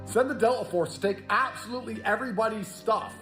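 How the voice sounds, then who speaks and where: A man's voice: high voice, quick pace, fairly bright tone, soft background music. A man ranting bluntly and provocatively on a clip. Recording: echoey room clip.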